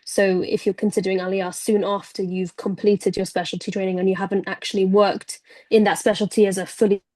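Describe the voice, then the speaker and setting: A woman's voice: medium pitch, little pitch movement, brisk, darkish tone, no room sound. Young woman doctor, dry close sound. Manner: articulate, long clarifying sentences. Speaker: trainee doctor asking a question. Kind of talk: webinar question from the audience.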